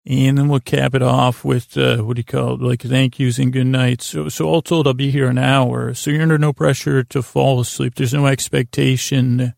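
A man hosting a bedtime podcast in a gravelly, creaky voice, meandering and halting, often doubling back on himself. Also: mid-range voice, little pitch movement, a little mellow, dry recording, studio quality. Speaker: podcast host. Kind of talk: rambling bedtime sleep podcast monologue.

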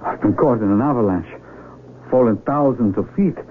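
An actor playing an astonished, earnest young mountaineer in a 1970s radio drama, hesitant and apologetic, on a narrow broadcast recording. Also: male voice, medium pitch, moderate pace, slight background noise, natural voice. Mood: shaken, earnest. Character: earnest, astonished young male mountaineer, shaken avalanche survivor.

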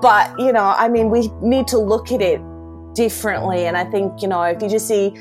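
A woman's voice: medium pitch, fairly even intonation, a little fast, soft background music. A woman, a guest artist in a casual interview, laughing often and using lots of fillers. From Iceland, raised in Seattle.

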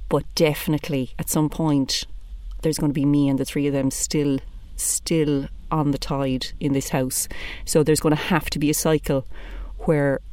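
A woman's voice: low-pitched, dark tone, dry recording. A woman in her thirties with an Irish accent, doing a chatty, blunt stand-up monologue. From Ireland. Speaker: comedian.

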